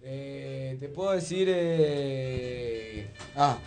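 A man's voice: medium pitch, drawn-out words, a little mellow, faint music behind. An eighteen-year-old man with an Argentine accent, a guest chatting casually and hesitantly on radio. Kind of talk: casual chat.

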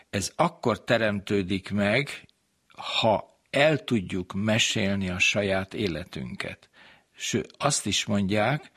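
A man gives an explanatory lecture. His voice is fairly low, with a gravelly creak and a husky, unclear edge. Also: a bit bright. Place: community centre talk.

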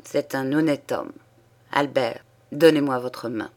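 A woman's voice: very deep voice, unhurried, voice pushed down. A haughty old nobleman , as a woman reader puts him on, who speaks with cutting irony and swings from cold scorn to outbursts. His storybook voice carries the weight of an imperious, easily provoked father. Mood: softening, grave. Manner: haughty and ironic, flaring into shouts. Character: proud, hot-tempered old male aristocrat.

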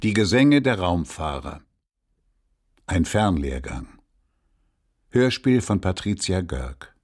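A man announcing titles for a radio drama in a deliberate, formal, scripted manner. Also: low-pitched, sing-song, darkish tone.

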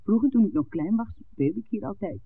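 An actress playing a lively, romantic young village barmaid in a 1960s Dutch radio drama. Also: female voice, mid-range voice, brisk, breathy edge, phone-quality sound. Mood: wistful. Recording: thin vintage 1969 radio recording.

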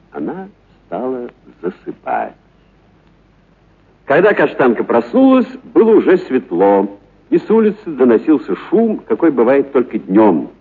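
A man reading literary prose for radio as an actor, with dramatic, deliberate pauses and stressed words. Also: mid-range voice, slightly rough. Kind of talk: expressive literary narration for radio. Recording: narrow, phone-like radio recording.

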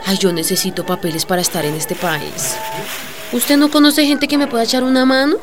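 A woman's voice: on the high side, steady tone, fairly quick, over music, lightly acted. An actress with a Colombian accent playing an eager, determined young migrant woman in a radio soap opera. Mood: pleading.

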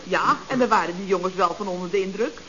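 An actor playing an office employee in a radio comedy, giving short, dry, prodding questions and replies. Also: male voice, sits high, fast talker, bright, forceful tone. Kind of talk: 1970s radio comedy acting. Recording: muffled old radio recording.

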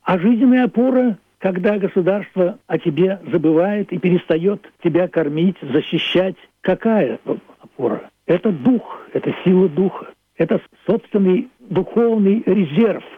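Elderly male, reflective radio monologue, very lively intonation, emphatic repetitions, dry narrow-band sound. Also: high-pitched, slightly rough, no echo. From Russia, Leningrad. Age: older, 80s. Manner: deliberate, philosophical, emphatic phrasing with repetitions. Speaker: playwright. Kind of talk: reflective radio monologue about own play and history. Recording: thin phone-like band-limited sound.

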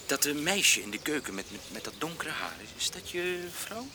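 A timid young farmhand in a radio drama. He is deferential and hesitant, his pitch moving a lot. There is clear ambient noise behind him. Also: sing-song, moderate pace. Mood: tentative. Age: young adult. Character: timid, polite young student farmhand newcomer. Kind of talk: Dutch radio drama acting.

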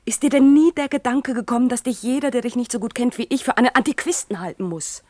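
A woman's voice: a little high, fast talker, a little mellow, no room sound, lightly acted. An actress playing a sensible young woman who admonishes her partner in a German science-fiction radio drama. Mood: reproachful, urgent. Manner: admonishing, pragmatic. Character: sensible, cautioning young woman, dissident's girlfriend.

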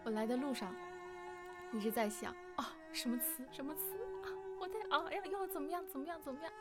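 A woman hosting a live interview stream in a chatty, openly excited and nervous manner. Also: high voice, fast. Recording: music playing behind the voice. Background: music.

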